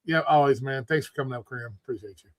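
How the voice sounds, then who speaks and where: A man's voice: mid-range voice, fast talker. A man hosting a casual online talk stream, congested and losing his voice, talking loosely with lots of swearing. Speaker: host. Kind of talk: casual livestream chat.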